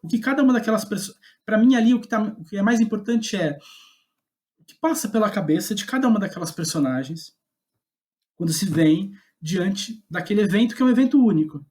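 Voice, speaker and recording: A man with a Brazilian Portuguese accent, speaking as a guest playwright in a reflective interview, halting as he thinks aloud and often checking for agreement. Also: high voice, expressive, a little mellow. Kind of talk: reflective interview talk about own writing.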